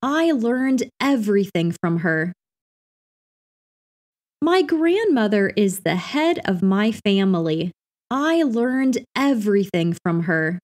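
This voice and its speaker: A woman reading everyday practice phrases for an English lesson in a clear, carefully enunciated scripted delivery. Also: mid-range voice, very animated, slow, a little mellow.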